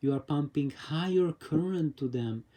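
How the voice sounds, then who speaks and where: A man giving an informal explanation to viewers as a video host, in a halting way with repeated and restarted phrases. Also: a little high, warm tone. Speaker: audio reviewer and vlog host.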